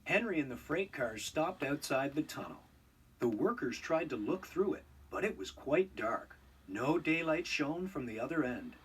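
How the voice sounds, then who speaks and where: A man narrating a children's read-along storybook in an expressive, scripted storytelling style. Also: a little high, normal speed, slightly rough, far from the mic, low-quality recording.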